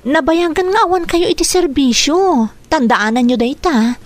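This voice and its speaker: A woman's voice: high-pitched, moderate pace, close to an everyday voice. A woman playing an earnest, protective young daughter in a radio drama, talking in a pleading manner. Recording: faintly noisy radio drama track.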